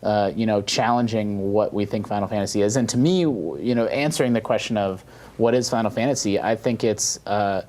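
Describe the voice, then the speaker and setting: A man's voice: on the low side, a little fast, darkish tone. Musing man in casual panel talk. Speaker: podcast panelist. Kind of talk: casual panel discussion about video games.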